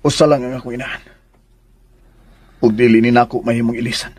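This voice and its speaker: A man's voice: medium pitch, little pitch movement, quick pace, a little mellow, husky, dry recording, lightly acted. A man playing a curt, stubborn young master with a Filipino accent in a radio drama, commanding and terse. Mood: firm, resentful.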